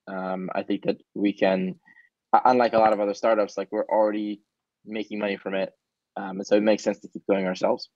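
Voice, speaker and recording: A man's voice: on the low side, brisk, no echo. A young man whose first language is Hebrew, a startup founder answering interview questions energetically on a video call. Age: young adult. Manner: energetic, gesturing while he talks. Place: small room indoors. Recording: dull, dry video call audio.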